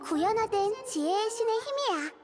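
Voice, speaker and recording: High-voiced, gentle little girl goddess. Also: lively intonation, normal speed. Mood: calmly probing. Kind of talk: video game character dialogue. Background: game music.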